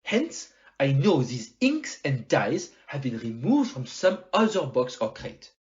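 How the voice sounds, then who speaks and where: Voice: mid-range voice, very animated, measured pace, dull audio. An amateur actor in an audio play playing a fussy, confident Belgian detective, theatrical and didactic, with French phrases and rhetorical tags. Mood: assured, didactic.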